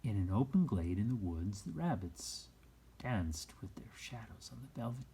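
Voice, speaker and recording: A children's story reader telling a tale aloud expressively, with dramatic hushed dips and emphatic rises. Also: mid-range voice, very animated, moderate pace, gravelly, a little hiss or hum.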